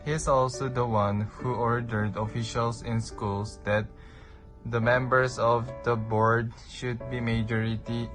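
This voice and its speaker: A man's voice: mid-range voice, flat delivery, a little slow, low-quality recording. A young man, reading a class history report plainly and evenly. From Philippines. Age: young adult. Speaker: student presenter. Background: guitar music.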